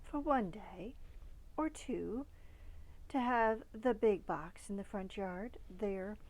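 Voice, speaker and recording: A woman reading a children's picture book aloud in a deliberate way, pausing between short phrases. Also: mid-range voice, darkish tone, low-quality recording. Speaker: storybook reader.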